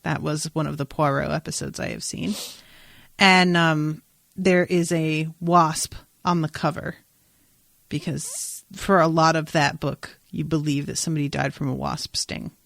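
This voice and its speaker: A woman podcast host with a slightly low voice chats in a casual, informal way. She is opinionated and playful in how she puts things. The recording is close and dry, with no room sound. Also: steady tone, unhurried, vocal fry, fairly bright tone, no room sound. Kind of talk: casual chatty podcast discussion.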